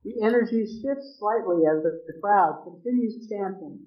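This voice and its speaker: A man narrating a church dramatic reading in a slow, steady voice. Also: high voice. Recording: echoey church, dull sound.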